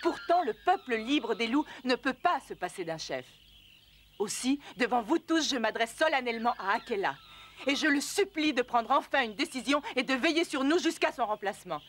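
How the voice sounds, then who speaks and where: High-voiced, commanding she-wolf orator. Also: lively intonation, moderate pace, fairly bright tone, slight background noise. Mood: solemn. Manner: solemn, oratorical, authoritative. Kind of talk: French cartoon dubbing, formal speech.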